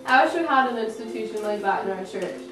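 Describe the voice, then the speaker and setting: A woman's voice: fairly high pitch, expressive, fast talker, fairly bright tone. A young woman reading lines plainly in an amateur school drama. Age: young adult. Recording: echoey room from far off, noisy.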